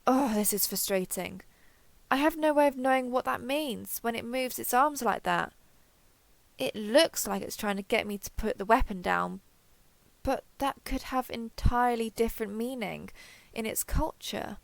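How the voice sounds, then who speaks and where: Girl acting as female alien explorer doing a captains log monologue, wary n excitable, bit creaky, really dry close sound. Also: fairly high pitch, normal speed, dry recording. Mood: curious, frustrated. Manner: hesitant, thinking aloud, wry. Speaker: voice actor. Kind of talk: audio roleplay internal monologue.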